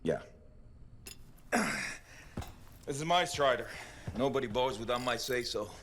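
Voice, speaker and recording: An actor playing a blunt, practical mechanic in a video game, grumbling and muttering in a naturalistic way. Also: male voice, medium pitch, lively intonation, unhurried, a bit bright, slight background noise. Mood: wry, irritated. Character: gruff, practical male mechanic, scolding but steadying comrade.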